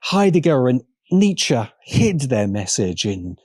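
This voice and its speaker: A man narrating a scripted video essay in a deliberate, emphatic manner with pointed pauses. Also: mid-range voice, sing-song, darkish tone, breathy edge, dry recording, studio quality.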